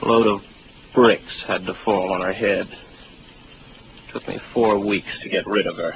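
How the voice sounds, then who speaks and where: An actor playing a lonely, sardonic young space officer in a 1950s radio drama, talking in a dry, rambling way. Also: male voice, on the low side, steady tone, measured pace. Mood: confiding. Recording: dull old 1950s radio broadcast with hiss.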